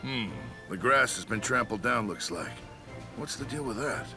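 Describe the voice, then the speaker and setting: A man's voice: medium pitch, lively intonation, moderate pace, natural voice. Man acting a grumbling soldier, music behind. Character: plainspoken, grumbling male soldier.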